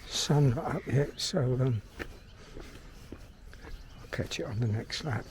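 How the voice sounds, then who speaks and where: A man's voice: mid-range voice, slow, slight background noise. Middle-aged man, London accent, blunt and sweary, casual walking monologue, outdoors, light background ambience. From London, England. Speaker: vlogger, filmmaker. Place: outdoors in a park. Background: birdsong and outdoor ambience.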